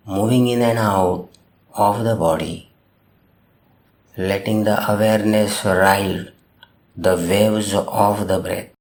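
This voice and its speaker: A man narrating a guided meditation, giving gentle instructions in short, measured phrases with long pauses between them. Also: lowish voice. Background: music.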